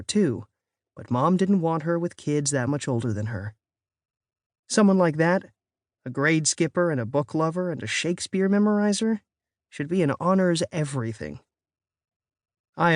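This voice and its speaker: A narrator reading a children's novel in an expressive, first-person storytelling way. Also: mid-range voice, no room sound, clean studio sound. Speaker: audiobook narrator. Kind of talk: scripted audiobook narration.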